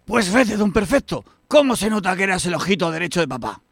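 A man's voice: high voice, very animated, a little fast, vocal fry, noisy background, heavily acted. A man from Spain playing a greedy, bossy, scornful middle-aged eldest brother in a radio soap. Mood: sarcastic. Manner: exclamatory, scornful, sarcastic. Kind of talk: amateur radio soap acting.

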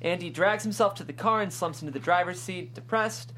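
Low-voiced woman reading screen directions. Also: a little fast, fairly bright tone, a little hiss or hum. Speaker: narrator reading stage directions at a script table read. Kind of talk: scripted narration of screen directions.

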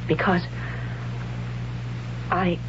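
An actress playing a troubled young warrior woman in a 1970s radio drama, speaking haltingly and close to tears, on a muffled old radio recording with hiss. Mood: torn. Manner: halting, hesitant, breaking into tears.

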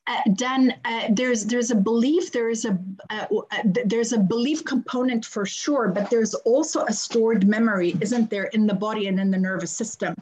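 A woman's voice: on the high side, moderate pace, few pauses, darkish tone, a little hiss or hum, rough recording. A woman in her fifties with a Lebanese accent, a guest on a podcast, explaining her work earnestly and repeating phrases for emphasis. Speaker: guest, conflict resolution coach. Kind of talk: podcast interview.

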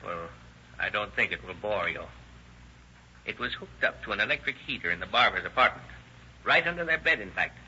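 An actor playing a shrewd police lieutenant, sardonic and pressing, in an old radio crime drama interrogation. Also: male voice, lowish voice, expressive, fairly quick, pauses often, sharp tone. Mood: slyly casual. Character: shrewd, needling, hard-driving male police lieutenant interrogator. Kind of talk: 1930s-1940s radio crime drama acting. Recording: dull, noisy old radio recording.

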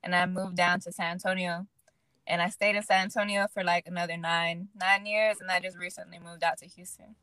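A woman's voice: lowish voice, a little fast, no room sound. A young woman from Illinois, a pro boxer chatting casually as a podcast guest, often saying "like". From Illinois, USA. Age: young adult. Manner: relaxed, fills speech with "like". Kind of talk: casual interview chat.

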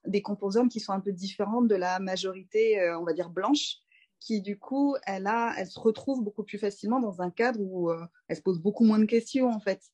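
A woman in her thirties from France, a doula speaking as a guest in a relaxed interview, thinking aloud with frequent fillers and restarts. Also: medium pitch, a little fast. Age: 30s. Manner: reflective, many fillers and restarts. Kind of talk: casual conversational interview.

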